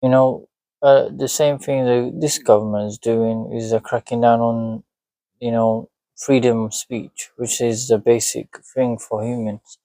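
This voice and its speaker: Gravelly guy rambling in a street interview, lots of you know, dry sound. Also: medium pitch, steady tone, warm tone, no room sound. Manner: halting, many fillers.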